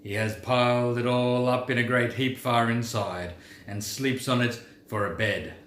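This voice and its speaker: A proud old dwarf king, voiced by a man reading aloud, speaks with a deep voice and a slow, weighty cadence. His pitch sits mid-range for a man. The recording is roomy, with a faint hiss of background noise. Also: fairly even intonation, a bit bright, natural voice. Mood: grim.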